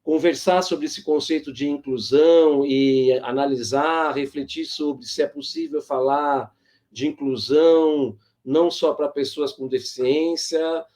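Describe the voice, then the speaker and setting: A man with a Brazilian accent moderating an informal online panel, explaining things in a measured way with thoughtful pauses. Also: a little high, steady tone, a little mellow. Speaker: host and moderator.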